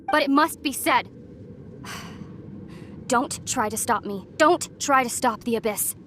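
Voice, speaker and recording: A woman's voice: fairly high pitch, slow pace, a bit bright, a little hiss or hum. A woman playing a solemn, resolute young princess and long-lost sister, speaking earnestly and with measure in a dramatic video game. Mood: stern. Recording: clear game music behind the voice.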